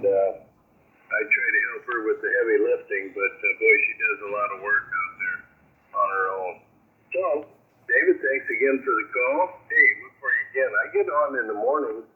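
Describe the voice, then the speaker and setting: An American man in his seventies chatting casually and hesitantly as a ham radio operator over a shortwave transmission. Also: mid-range voice. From United States. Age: 70s. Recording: narrow-band crackly shortwave radio, static noise.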